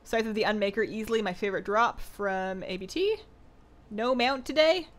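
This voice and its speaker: A woman's voice: medium pitch, moderate pace. A woman streamer chatting casually with viewers while she plays, her voice a little worn from two hours of talking. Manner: chatty, reads viewer questions aloud, frequent "like" and "um". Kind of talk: casual live-stream chat while gaming.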